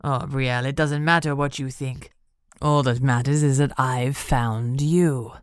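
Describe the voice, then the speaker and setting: A woman voicing a cruel male werewolf captor who talks in a low, purring, menacing way. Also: steady tone, unhurried, no echo, clean studio sound.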